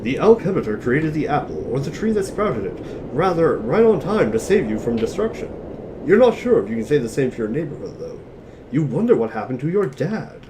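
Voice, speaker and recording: A man's voice: mid-range voice, big pitch swings, brisk, background noise. A man giving an amateur dramatic reading of scripted narration. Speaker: reader of narration.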